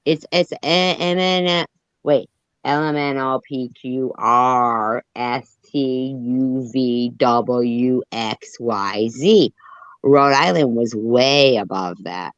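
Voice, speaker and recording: A man's voice: mid-range voice, slow, a bit bright, clear, resonant tone, no room sound, lo-fi sound. A man in casual chat who argues each point and spells out letters to prove it.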